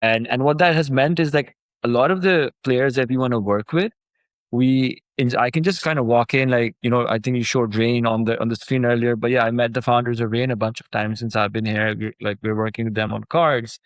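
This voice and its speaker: Man, from Pakistan, founder guest, casual remote interview, filler-heavy, mid pitch, dry close sound. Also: a little fast, no echo. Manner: relaxed and conversational, many fillers, animated when excited.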